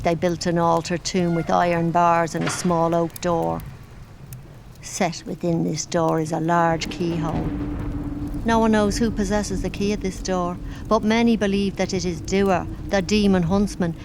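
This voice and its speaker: Ominous old servant woman, noisy backdrop. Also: fairly low pitch. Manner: ominous, measured storytelling. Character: old moorland servant woman telling grim devil folklore. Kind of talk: audio drama acting.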